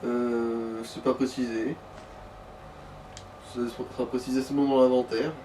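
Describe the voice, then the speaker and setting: A man's voice: mid-range voice, flat delivery, measured pace, mellow, distant mic, slight background noise, low-quality recording. A man bantering casually as a gameplay co-commentator, joking with absurd exaggeration. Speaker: let's play co-commentator.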